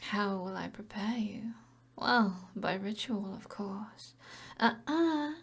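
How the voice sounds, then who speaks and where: A woman's voice: mid-range voice, expressive, slow, full character voice. A British woman playing an alluring, commanding evil sorceress in an ASMR roleplay, half-whispering in a teasing, possessive way. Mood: menacing, coaxing. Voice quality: breathy, whispery.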